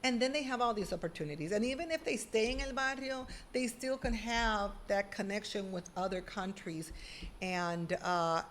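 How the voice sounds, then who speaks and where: A middle-aged woman, a guest on a video-call interview, telling stories in an animated, emphatic way. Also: medium pitch, a little hiss or hum. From Puerto Rico. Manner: animated, emphatic storytelling with lively quoted asides. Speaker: guest, former school principal. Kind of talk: conversational interview.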